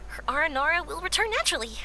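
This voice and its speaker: A woman's voice: high-pitched, sing-song, racing, heavy background noise. A woman voicing a kind-hearted, earnest and encouraging young woman in a video game, speaking expressively. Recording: game music behind the voice.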